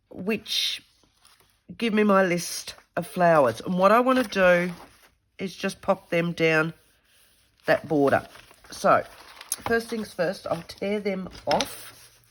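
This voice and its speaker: A woman's voice: mid-range voice, a little hiss or hum. A woman with a stuffy head cold, chatting casually while she works through a craft project. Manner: chatty, self-talking while working. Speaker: craft video host. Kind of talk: casual craft tutorial chat.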